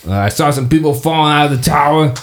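A man's voice: a little high, fast, reverberant, pitched above the performer's own voice, close to an everyday voice. A man voicing a friendly, chummy, human-sized cat in a tabletop game.

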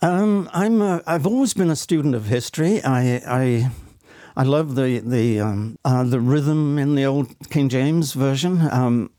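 A man's voice: mid-range voice, a little slow, mellow, dry recording. A middle-aged man answering interview questions in a thoughtful, hesitant, conversational way. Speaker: stage actor, podcast guest.

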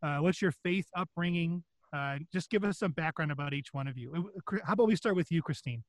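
A man co-hosting a podcast with a slightly high, upbeat voice. He talks casually and fills his sentences with ums and uhs. The sound is close and dry, with no room echo. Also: brisk. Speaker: podcast co-host. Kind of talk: casual chat.

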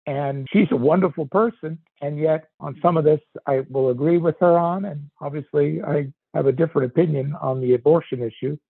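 An older man giving earnest, reflective commentary in a deliberate way, searching for words, through a narrow phone-like recording. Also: a little high, breathy edge, no room sound. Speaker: podcast commentator, a grandfather. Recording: dull, narrow phone-like sound.

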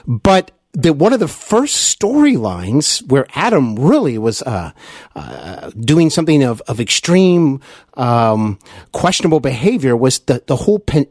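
Guy podcast host, halting, effusive, pitch goes up n down a lot, dry sound. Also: darkish tone, dry recording. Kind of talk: conversational interview.

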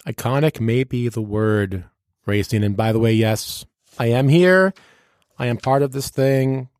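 A man speaks as a radio personality in a relaxed interview chat. His voice sits at an average pitch, and his delivery is slangy, playful and rhetorical, like a showman. The recording is dry and close. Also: measured pace, clean tone, no echo.

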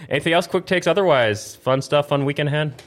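A man's voice: fairly high pitch, quick pace. A man hosting a casual gaming talk show in an informal, slangy, encouraging way. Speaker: host.

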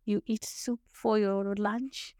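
A woman's voice: medium pitch, fairly even intonation, takes it slowly, mellow, no room sound, studio quality. A woman from Zimbabwe with a Zimbabwean accent, a native Shona speaker, telling her story as a podcast guest in an earnest, thoughtful way. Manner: earnest, thoughtful, frequent restarts and small laughs. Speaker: guest, lawyer. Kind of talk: podcast interview, reflective storytelling.

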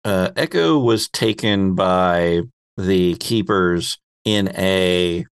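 Male game master, fairly low and fry-edged, narrating and prompting players, close dry sound. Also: drawn-out words, dry recording.

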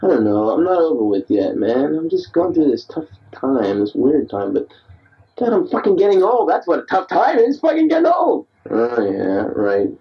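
Man, early 30s, tipsy late-night rambler, husky tone, muffled tape from off-mic. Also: on the high side, wildly swooping pitch, moderate pace, recorded from a distance. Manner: rambling, self-deprecating, restless. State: tipsy after beers. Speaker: diarist recording himself. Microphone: answering machine recorder.

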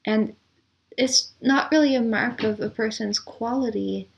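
A woman's voice: on the high side, unhurried, creaky, highs cut off. A woman speaking as a guest in a conversational interview, explaining her views in a reflective way. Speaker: podcast guest, composer and audio producer.

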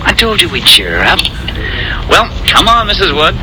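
An actor playing a brash, cheerful young soldier in 1940s American radio style, glib and fond of puns. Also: male voice, high-pitched, wildly swooping pitch, moderate pace, rough recording. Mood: breezy, teasing. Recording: old radio recording with music bleed.

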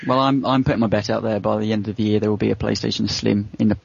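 A man talks fast in a gravelly, husky voice, explaining things informally as a panelist in a casual discussion. The recording is dry and a bit muffled. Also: fairly low pitch, flat delivery, no echo. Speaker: podcast panelist.

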